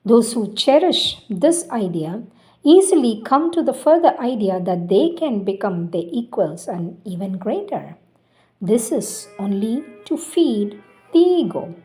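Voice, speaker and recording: A woman giving a solemn devotional reading of spiritual teachings, deliberate and emphatic, pausing between phrases. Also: mid-range voice, darkish tone.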